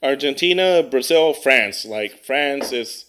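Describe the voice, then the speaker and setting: A man's voice: medium pitch, animated, slow pace, a bit bright, slight background noise, poor audio. A man co-hosting a podcast, chatting casually with slang, swearing and a joking manner. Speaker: podcast co-host.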